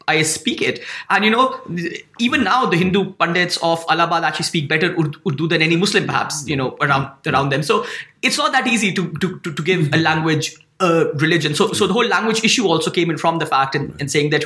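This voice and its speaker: A man's voice: fairly high pitch, pushed and bright, roomy sound. A man explaining his ideas in a conversational discussion, often repeating words while he thinks.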